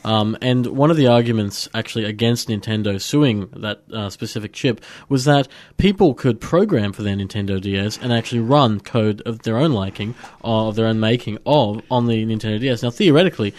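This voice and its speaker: A man's voice: lowish voice, brisk, hardly any pauses, a little mellow. A man co-hosting a casual tech radio show, chatty and jokey, often correcting himself mid-sentence. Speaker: radio co-host.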